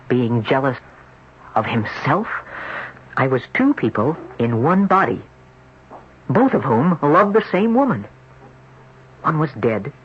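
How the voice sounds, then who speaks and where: A troubled, jealous man confesses his strange story with dramatic, lively swings of pitch. The sound is a dull, noisy vintage radio drama recording. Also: husky. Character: anguished, jealous ex-surfer haunted inside a rich man's body. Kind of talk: old-time radio drama acting.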